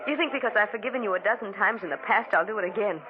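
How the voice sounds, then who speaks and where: A young woman speaks quietly, tense and firm, played by an actress. It sounds like a 1940s radio broadcast. The sound is dull and buried in hiss. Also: mid-range voice, racing, natural voice. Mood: hurt. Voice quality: quiet, tense, soft-spoken. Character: young woman, hurt and resolved. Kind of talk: 1940s radio drama acting. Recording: hissy, muffled old radio broadcast.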